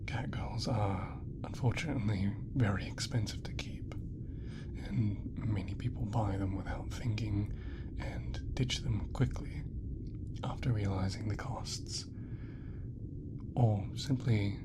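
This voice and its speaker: A man acting as a protective male doctor in an ASMR roleplay, whispering in a very low register. He is tender and soothing, taking his time with every phrase as he reassures his patient. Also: steady tone, slow. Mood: grave, explanatory. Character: gentle, protective male starship doctor tending a catgirl.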